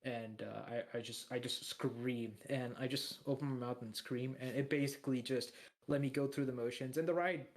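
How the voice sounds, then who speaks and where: Deep-voiced guy rambling on a podcast, lots of ums and uhs, middle pitch. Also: steady tone, moderate pace. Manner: rambling, many fillers and restarts. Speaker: podcast co-host. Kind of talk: casual chat.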